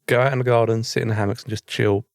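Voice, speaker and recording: A man's voice: medium pitch, little pitch movement, very fast, creaky, no room sound, clean studio sound. A man with a British accent, talking in a wry, conversational way as a co-host on a casual podcast. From Britain.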